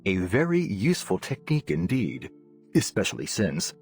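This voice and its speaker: Nonhuman scientist, eccentric, low-pitched, very lively intonation, precise, bookish, officious, game character. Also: male voice, normal speed, soft background music. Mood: reflective, chatty. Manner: animated, fussily precise, scholarly. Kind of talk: video game character voice lines.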